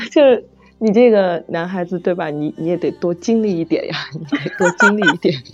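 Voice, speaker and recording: Halting low-voiced woman, dull lo-fi recording. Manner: halting, repeats phrases as searching for words. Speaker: novel author. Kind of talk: casual chat about writing. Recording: dull lo-fi audio, music underneath. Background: background music.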